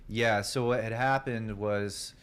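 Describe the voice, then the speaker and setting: A young man from Ohio with a deep voice, a podcast guest telling his story in a rambling, laid-back way full of fillers. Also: fairly even intonation, slow, a bit bright, clear, resonant tone. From Columbus, Ohio. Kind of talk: casual podcast chat.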